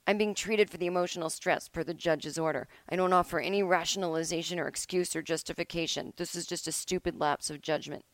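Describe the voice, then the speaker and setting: A woman's voice: on the low side, steady tone, fast, few pauses, dry recording. Dry-toned woman comedian host. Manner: wry asides, mock-dramatic delivery. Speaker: podcast host. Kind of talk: comedic dramatic reading, casual commentary. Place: at home.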